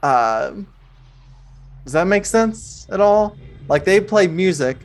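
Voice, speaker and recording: A woman narrating an improvised tabletop game as game master, in a loose, conversational, halting way. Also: lowish voice, animated. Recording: music playing behind. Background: music.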